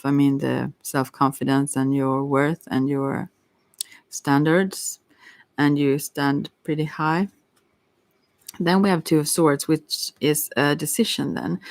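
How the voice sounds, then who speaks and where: Halting, creaky-voiced tarot reader, very close and dry. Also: medium pitch, no echo. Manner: hesitant, halting, apologetic, with frequent self-interruptions. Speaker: tarot card reader, ASMR host. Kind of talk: rambling ASMR tarot reading.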